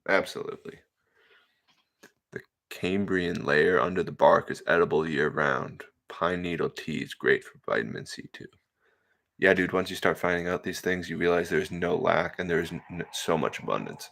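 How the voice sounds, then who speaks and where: Low-voiced young man rambling to chat. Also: vocal fry, a bit bright. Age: 20s. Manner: rambling, laid-back, laughs often, many fillers. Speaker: livestream host reading chat. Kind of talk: casual solo livestream chat.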